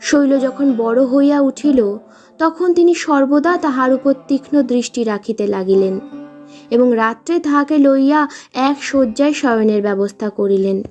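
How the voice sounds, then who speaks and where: High-voiced woman narrating a story. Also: moderate pace, darkish tone, faint music behind. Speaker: storyteller reading a short story aloud. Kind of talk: scripted literary narration.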